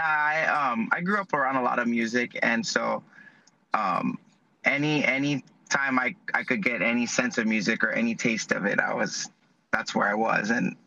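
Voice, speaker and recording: A man from Hawaii, a musician guest, answering questions in a relaxed, laid-back way. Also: medium pitch, creaky, dull audio. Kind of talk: casual interview answers.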